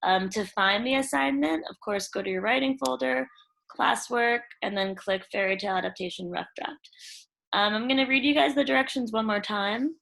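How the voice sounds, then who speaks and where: Woman teacher with a creaky voice. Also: on the high side, normal speed, fairly bright tone, rough recording. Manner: instructional, addresses children informally as "you guys". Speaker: elementary school teacher. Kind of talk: screen-share tutorial for pupils.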